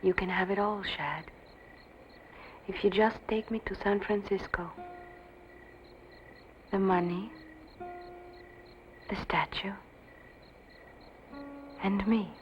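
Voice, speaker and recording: A woman's voice: medium pitch, steady tone, slow pace, long pauses, lightly acted. Scheming Western temptress played by a woman, muffled vintage sound. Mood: seductive, coaxing. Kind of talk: old-time Western drama acting. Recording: dull vintage audio with music behind.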